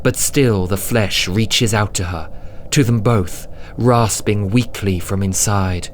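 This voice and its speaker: Man, low voice, slow suspenseful narration, dramatic audiobook style, faint background noise. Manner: suspenseful, hushed at times, deliberate.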